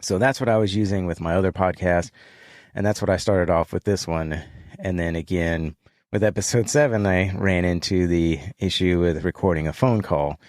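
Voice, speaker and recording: Calm deep-voiced man, dry sound. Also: moderate pace, dry recording. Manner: calm, easygoing, laughs often. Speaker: podcast guest. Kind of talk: casual podcast conversation.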